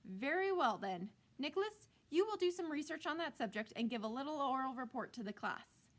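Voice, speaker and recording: A woman reading a storybook as a stern female teacher who speaks formally with a sly, deliberate sweetness. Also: sits high, expressive. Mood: firm, sweet.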